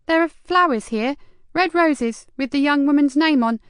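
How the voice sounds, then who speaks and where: A woman's voice: high-pitched, normal speed, no room sound, studio quality, pitched above the performer's own voice, lightly acted. A woman voicing an eager, self-important young female receptionist who turns frightened. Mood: uncertain.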